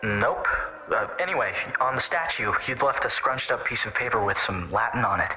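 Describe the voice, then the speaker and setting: A man's voice: medium pitch, lively intonation, pushed and bright. A man playing a terse, touchy young man telling a local ghost story. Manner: curt and gruff, then slow storytelling. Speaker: call-in guest storyteller. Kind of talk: fan audio drama acting. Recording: reverberant with music underneath.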